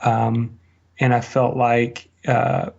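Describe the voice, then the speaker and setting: A man's voice: mid-range voice, monotone, very slow, gravelly, a little mellow. Man in a dull, lo-fi interview recording. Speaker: interview guest, blogger and online business teacher. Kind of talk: conversational interview answers, reflective storytelling.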